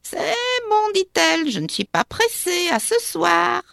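Shrill, spiteful old witch. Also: very animated, gravelly, no room sound, studio quality, heavily acted. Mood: smug. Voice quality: small, shrill, sharp and sour voice. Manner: sneering, mocking, drawn-out words. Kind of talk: storybook reading.

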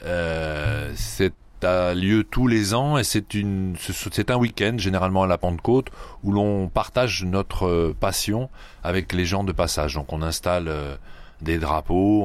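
Very deep-voiced hesitant man. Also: clear, resonant tone. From Paris region, France. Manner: hesitant, many fillers and restarts. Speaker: guest, sand yachting club head. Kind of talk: radio interview.

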